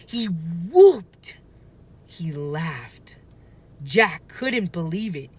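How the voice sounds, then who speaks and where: A woman reading a children's book aloud with expressive, deliberate, dramatic phrasing. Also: fairly low pitch, husky. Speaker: storybook reader.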